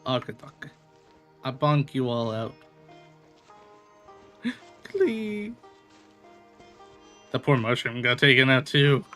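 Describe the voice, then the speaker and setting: A man's voice: medium pitch, sing-song, slow, long pauses, pushed and bright, faint music behind. A man giving casual, playful commentary as he streams a video game, often exclaiming and talking to the characters.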